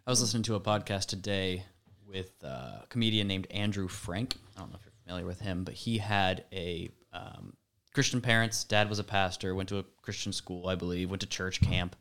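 A man's voice: low-pitched, steady tone, a little fast, fairly bright tone, clear, resonant tone. A man on a podcast, giving casual conversational commentary. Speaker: podcast participant.

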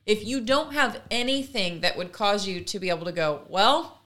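A woman's voice: mid-range voice, expressive, normal speed, fairly bright tone. A woman co-hosting a casual discussion, earnest and emphatic, building her argument in long runs. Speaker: podcast co-host.

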